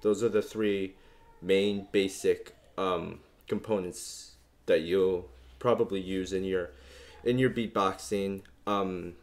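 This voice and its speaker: A young man with a very low bass voice walks the listener through beatbox sounds. He talks casually and rambles a bit, leaning on fillers as he explains. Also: slow. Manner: relaxed, rambling, many fillers. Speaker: beatbox tutorial host. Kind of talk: casual instructional talk.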